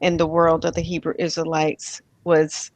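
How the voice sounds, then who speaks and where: A woman from Miami being interviewed about her childhood, speaking softly and thoughtfully with pauses and light laughs. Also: deep voice, completely flat delivery, dry recording. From Miami, USA. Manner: soft-spoken, thoughtful, with pauses and fillers. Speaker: interviewee, spiritual healer. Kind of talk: reflective personal interview.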